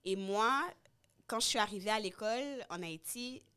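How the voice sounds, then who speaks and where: A woman's voice: mid-range voice, moderate pace, pushed and bright, clear, resonant tone. A young woman from Canada, a guest in a casual podcast chat telling a personal story with quoted dialogue. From Canada, schooled in Haiti.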